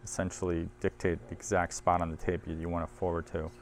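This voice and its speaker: A man's voice: deep voice, level tone, fast, darkish tone, a little hiss or hum. A man presenting audio equipment in a casual hands-on demo, informal and thinking aloud as he handles the machine.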